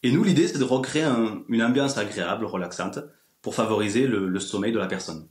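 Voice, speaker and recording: A man's voice: on the low side, fairly quick. A man in his twenties from France chatting casually as a podcast guest, explaining things in an informal way. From France, small village. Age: 20s. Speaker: interview guest, ASMR video creator. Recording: roomy, echoey sound.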